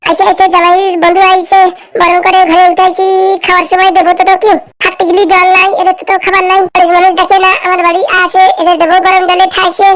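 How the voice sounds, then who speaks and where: A woman voicing a sharp-tongued older village mother-in-law in a comedy cartoon, with a Pabna Bengali accent and blunt, mocking delivery. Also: very high-pitched, monotone, fast talker. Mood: mocking chant. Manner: sing-song mock blessings, blunt insults. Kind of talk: rural comedy cartoon skit.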